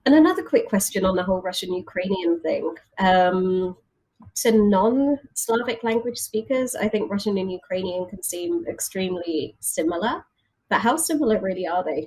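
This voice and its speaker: A woman's voice: mid-range voice, animated, a little fast, darkish tone, low-quality recording. A woman chatting casually as an interviewer, asking questions and responding to her guest.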